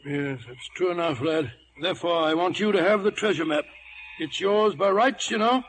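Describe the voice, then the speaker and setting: Blustery, kindly squire, high voice, spluttering delivery, old radio adventure with faint hiss. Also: male voice, moderate pace, fairly bright tone. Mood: kindly, grave. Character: blustering, gruff but kindly English squire. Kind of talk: 1950s radio drama acting. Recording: noisy old radio recording.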